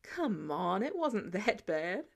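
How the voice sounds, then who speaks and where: A woman's voice: medium pitch, sing-song, slow, gravelly, pitched below the performer's own voice, exaggerated voice. A woman voicing a casual, wry male boss and inventor in a story reading. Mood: wryly amused. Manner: casual, teasing, glib.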